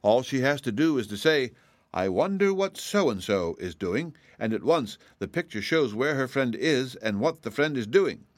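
Man voicing a brave, cheerful little girl, pitched up. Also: big pitch swings, moderate pace, dry recording, lightly acted. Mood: brightly explaining. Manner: confident, reassuring explaining.

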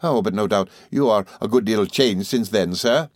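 A man voicing a meek, timid middle-aged country doctor who speaks slowly and apologetically, stammering and adding sir to nearly every phrase. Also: fairly low pitch, dry recording, clean studio sound, lightly acted. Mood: meek, fluttered. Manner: slow, apologetic, hesitant, with deferential sirs.

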